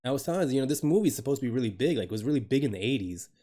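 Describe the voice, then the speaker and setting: A man's voice: medium pitch, animated, rapid-fire, warm tone. Chatty, laughing male streamer. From Dominican Republic. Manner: rambling, laughs a lot, swears casually, talks to chat. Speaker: live streamer. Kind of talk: casual live-stream chat with viewers.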